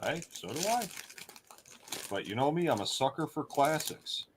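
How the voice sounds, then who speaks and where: A man's voice: on the high side, sing-song, normal speed, slight background noise. A man co-hosting a podcast in casual chat, joking and laughing often. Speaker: podcast co-host.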